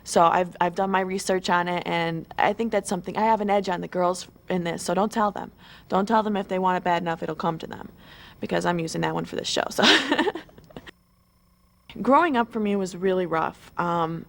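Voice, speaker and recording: A woman's voice: lowish voice, normal speed, gravelly. A twenty-year-old woman giving an eager, chatty pre-show interview, full of "you know" and restarts. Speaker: model contestant.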